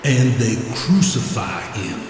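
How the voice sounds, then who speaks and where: An actor playing a zealous, righteous reverend in an audio drama, composed and solemn. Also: male voice, on the low side, very animated, takes it slowly, over music. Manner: solemn, preacherly.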